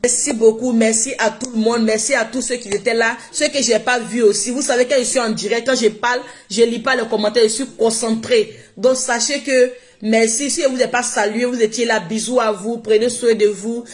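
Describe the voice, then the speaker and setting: Woman, non-native French speaker, fairly high voice, emphatic, repetitive, live stream on a phone. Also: steady tone, brisk, hardly any pauses. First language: not French. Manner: emphatic, repeats herself. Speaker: social media live streamer. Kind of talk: casual live-stream monologue.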